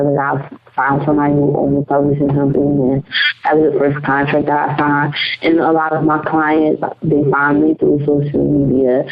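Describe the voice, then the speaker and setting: A young woman from Newark, New Jersey, a guest talking earnestly about her plans with many fillers. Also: low voice. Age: young adult. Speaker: guest, author services and radio host. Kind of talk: interview. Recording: dull lo-fi audio.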